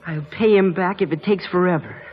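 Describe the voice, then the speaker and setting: A woman's voice: lowish voice, a little fast, lightly acted. A woman playing a proud, wounded, defiant orphan boy in an old radio drama. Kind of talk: 1940s radio drama acting. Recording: muffled radio broadcast with music.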